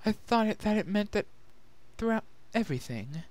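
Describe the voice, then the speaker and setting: A man voicing a refined young female pony who tells of a sorrowful past in a soft, measured, earnest way, in a dramatic story reading. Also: sits high, expressive, no room sound, pitched above the performer's own voice. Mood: somber, earnest. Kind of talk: dramatic fan-fiction reading.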